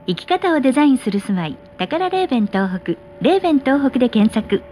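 A woman reading scripted sponsor notices on a subway, polite and clearly articulated. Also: high-pitched, very animated, moderate pace, a little mellow. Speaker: subway advertisement announcer. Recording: in-car speaker recording with train noise. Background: running subway train noise.

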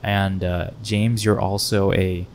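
A man's voice: little pitch movement, slow, darkish tone. A man hosting a casual podcast interview, speaking into a close microphone in a bar lounge. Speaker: podcast host. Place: wood-panelled bar lounge, indoors. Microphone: close podcast microphone on stand. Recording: low rumble and faint room noise.

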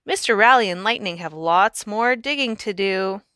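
A woman reading a children's picture book aloud in an expressive, animated storyteller's way with emphatic phrasing. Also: medium pitch, moderate pace, clean tone, dry recording, clean studio sound. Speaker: read-aloud narrator.

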